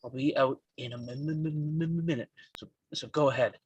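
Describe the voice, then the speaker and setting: A man acts out a young man standing guard over his father in a live script read. His voice is husky and dry, and he stammers heavily. He stays resolute. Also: medium pitch, brisk, no room sound, lo-fi sound, lightly acted. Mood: urgent. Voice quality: heavy stammer.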